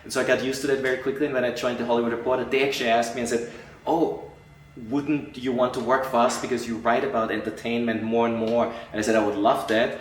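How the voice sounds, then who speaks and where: A middle-aged man from Austria, an entertainment journalist answering interview questions, animated and acting out quoted colleagues, with frequent restarts. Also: medium pitch, fast, slight background noise. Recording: echoey room, voice a bit far from mic.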